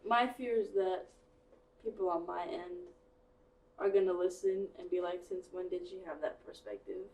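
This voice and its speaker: A woman's voice: on the low side, moderate pace, a little mellow. A woman co-hosting a podcast, casual with friends and playful, tossing in joking asides. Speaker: podcast co-host. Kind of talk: casual chat among friends. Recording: echoey room, mic at a distance, faint noise.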